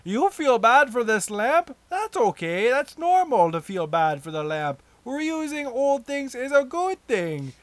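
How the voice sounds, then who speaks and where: A man's voice: very high voice, very animated, measured pace, few pauses, no echo, voice pushed up, exaggerated voice. A man imitating a soothing male spokesman from a TV commercial.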